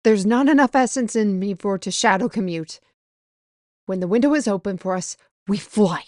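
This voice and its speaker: A woman's voice: medium pitch, normal speed, a little mellow, no room sound, close to an everyday voice. Commanding sorceress mistress played by a woman. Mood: urgent, commanding. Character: bossy, scheming mistress sorceress, brisk and conspiratorial. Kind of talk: audio roleplay acting.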